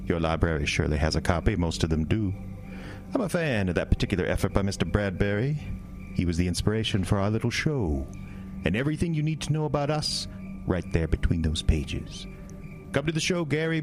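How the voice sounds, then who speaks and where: A man's voice: low voice, background noise. A man playing a sly carnival showman. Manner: theatrical, coaxing, drawn-out lines. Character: sinister smooth-talking male carnival showman, old-fashioned. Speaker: carnival showman in a radio drama. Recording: music bed behind the voice.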